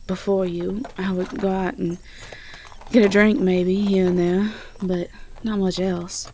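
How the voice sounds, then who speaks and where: A woman's voice: medium pitch, warm tone, a little hiss or hum, lightly acted. A woman playing a sweet, flustered Southern belle girlfriend in an intimate roleplay audio drama, with a Southern US accent and an affectionate, stammering delivery. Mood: shy, reflective.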